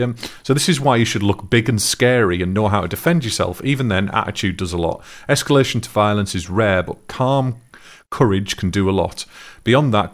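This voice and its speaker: A man talking things through in a casual chat, earnest and thoughtful, often restarting his sentences. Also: fairly low pitch.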